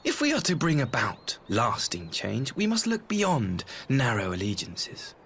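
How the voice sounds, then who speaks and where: An actor playing an earnest, diplomatic imperial idealist in a video game, speaking formally and eloquently in measured phrases. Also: male voice, medium pitch, huge pitch swings, vocal fry, a bit bright, a little hiss or hum, exaggerated voice. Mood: earnest, persuasive. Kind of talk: video game cutscene acting.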